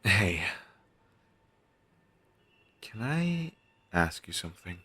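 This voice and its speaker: A man playing a pessimistic zombie best friend with dark sarcasm, speaking haltingly in an intimate audio roleplay. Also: low-pitched, sing-song, husky, full character voice. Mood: troubled, confessional. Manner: halting, sighing, sardonic delivery with trailing hesitations.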